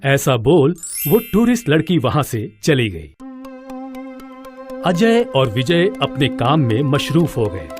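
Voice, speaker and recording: A man narrates a children's tale at a slow pace. His medium-pitched voice rises and falls expressively, with music playing behind him. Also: big pitch swings, a little mellow. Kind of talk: scripted children's story narration.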